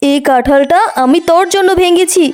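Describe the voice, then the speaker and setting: A woman's voice: very high-pitched, a little fast, darkish tone. A woman voicing grown-up village animal women in a children's cartoon, motherly but firm, with music behind her. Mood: firm, scolding.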